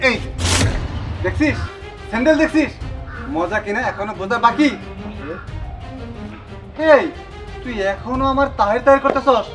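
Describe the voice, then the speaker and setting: An actor playing an arrogant, scolding officer who looks down on villagers, in a Bangladeshi accent. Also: male voice, very high-pitched, moderate pace, long pauses, a bit bright, soft background music. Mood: threatening, scornful. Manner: haughty, scolding. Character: arrogant city officer scorning his village friend. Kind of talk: Bangla short-film drama acting.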